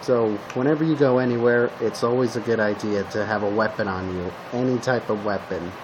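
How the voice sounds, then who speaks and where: A man playing a zombie apocalypse survivor, talking casually and haltingly as he gives matter-of-fact survival advice in an improvised roleplay. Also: fairly low pitch, lo-fi sound, close to an everyday voice. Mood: instructive, cautioning. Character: male zombie apocalypse survivor giving tips to a guest. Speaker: roleplay video host. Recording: steady background hum, noisy home recording.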